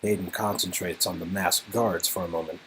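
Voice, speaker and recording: A man's voice: low voice, fairly even intonation, brisk, a bit bright, slight background noise, poor audio. A man narrating an interactive game story in a dramatic storytelling style, with music behind him. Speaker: narrator and co-performer.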